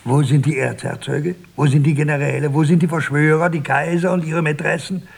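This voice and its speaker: A man's voice: fairly high pitch, little pitch movement, a little fast. An actor playing a defiant, cranky old husband in his eighties, declaiming in a radio drama. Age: 80s. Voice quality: husky, gravelly. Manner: declamatory, swinging from shouts to whispers. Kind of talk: 1960s German radio drama acting.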